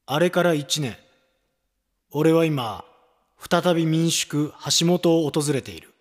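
A man's voice: on the high side, slow. An actor playing an earnest, familiar younger man in a radio drama, moving between dialogue and narration. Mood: friendly, narrating.

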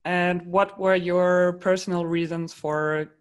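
A woman's voice: lowish voice, level tone, slow. A woman hosting an interview show, introducing her guest and asking prepared questions.

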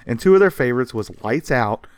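A man's voice: mid-range voice, animated, quick pace, darkish tone, clean tone. A man hosting a podcast, telling history in a casual, colloquial and explanatory way. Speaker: podcast host.

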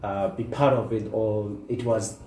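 A man's voice: lowish voice, steady tone, measured pace, a little mellow. A man in his thirties with a South African accent, talking casually and reflectively as an interview guest. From rural South Africa. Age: 30s. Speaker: podcast guest. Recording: roomy echo, distant mic, faint noise.

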